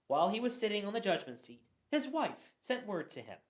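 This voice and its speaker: A woman giving a dramatic Bible reading, expressive, with occasional stumbles. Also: medium pitch, a little fast. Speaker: scripture reader. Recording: roomy echo.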